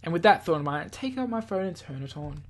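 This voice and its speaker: Slightly husky gaming host reading aloud. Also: mid-range voice, lively intonation, quick pace. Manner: stumbles over words, self-correcting, playful. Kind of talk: reading a visual novel aloud with asides.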